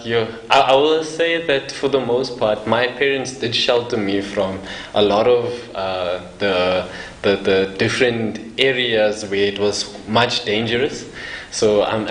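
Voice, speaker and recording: A young man from South Africa, an evangelist guest, talking casually in an interview, often laughing softly and restarting his sentences. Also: fairly low pitch. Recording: echoey room with faint noise.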